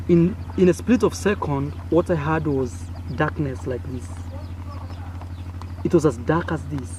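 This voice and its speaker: A young man with a Kenyan accent, Swahili his first language, telling a childhood story to camera in a casual, animated way, acting out sounds and gestures. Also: fairly high pitch, poor audio. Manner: animated, acts out sounds and gestures with frequent fillers. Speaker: content creator. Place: outdoors in a village. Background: faint village noise.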